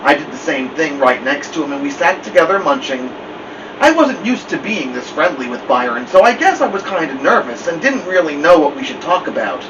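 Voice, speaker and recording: A man with a mid-range voice narrates a story aloud in the first person. The recording is muffled and distant, with a strong steady hum like air conditioning behind him. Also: fairly high pitch, brisk. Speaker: storyteller reading a novel aloud.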